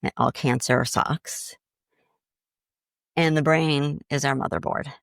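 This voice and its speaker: A middle-aged woman with a faint Boston accent and a gravelly edge, talking in a lively, storytelling way. Her voice is close and dry, with no room sound around it. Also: sits low, slow, no echo. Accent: slight Boston. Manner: animated storyteller, acts out inner voices. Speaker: grief coach guest. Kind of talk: casual podcast conversation.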